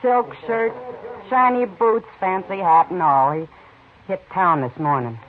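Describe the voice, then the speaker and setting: A woman's voice: fairly low pitch, unhurried, natural voice. An American actress playing a breezy, teasing frontier saloon woman in a 1950s radio western, easy and familiar in manner. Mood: matter-of-fact. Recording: noisy, narrow-band 1950s radio broadcast.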